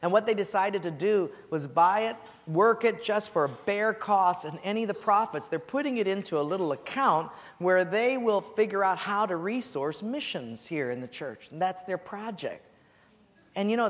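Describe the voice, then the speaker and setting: A male pastor from preaching a sermon in a church, swinging between loud high emphasis and low quiet drops. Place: church sanctuary. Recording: long hall reverb.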